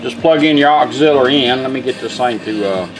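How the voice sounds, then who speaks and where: A man's voice: medium pitch, fairly quick, rough recording. A man giving a casual product demonstration in an informal, folksy way. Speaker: radio restorer demonstrating a product. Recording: radio music playing in the background. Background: music from the demonstrated car radio.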